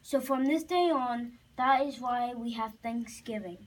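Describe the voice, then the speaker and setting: A child narrating a homemade puppet show haltingly, with restarts. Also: mid-range voice. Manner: halting, repeats and restarts phrases. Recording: distant, faintly noisy home recording.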